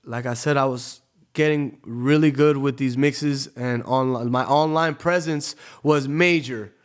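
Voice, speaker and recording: A man from Tampa, a DJ guesting on a podcast, tells his story in an easygoing, conversational way, dropping "you know" every few phrases. His voice is smooth and resonant and sits at an ordinary pitch for a man. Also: fairly even intonation, a little slow. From Tampa, Florida. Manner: laid-back, peppers his talk with "you know". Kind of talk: casual storytelling in a podcast interview.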